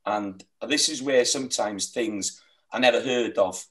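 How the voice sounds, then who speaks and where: A man's voice: mid-range voice, unhurried, distant mic. A middle-aged Welsh man whose first language is Welsh, describing his hill farm with earnest, heartfelt passion on a video call. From Wales. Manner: earnest, heartfelt, rambling with restarts. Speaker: sheep farmer guest. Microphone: webcam on a video call.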